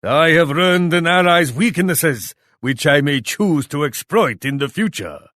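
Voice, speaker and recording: An actor playing a proud, menacing shogun warlord in a video game, speaking in a haughty, formal, theatrical way. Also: male voice, a little high, animated, a little slow, a bit bright, no room sound, studio quality. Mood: scornful, proud. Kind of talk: video game character taunts.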